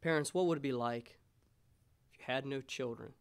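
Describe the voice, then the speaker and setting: An American man in his thirties preaching a sermon as a pastor in a measured, pausing way. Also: mid-range voice, clear, resonant tone. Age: 30s. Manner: measured, pausing, rhetorical questions. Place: church sanctuary, indoors. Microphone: gooseneck pulpit microphone.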